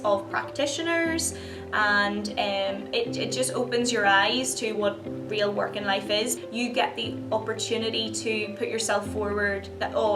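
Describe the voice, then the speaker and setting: A chatty young woman talks about her course and plays out short quoted remarks as she goes. Her voice comes from a distance in a roomy space, with music playing clearly behind. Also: mid-range voice. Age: young adult. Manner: chatty, acts out little quoted exchanges. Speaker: physiotherapy student. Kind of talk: casual talk to camera.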